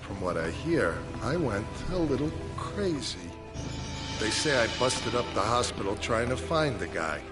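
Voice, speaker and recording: An actor playing a bitter, disfigured small-time boxer, brooding and streetwise, in a video game cutscene monologue. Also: male voice, medium pitch, big pitch swings, a little slow. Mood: vengeful. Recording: game audio with loud music. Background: loud music.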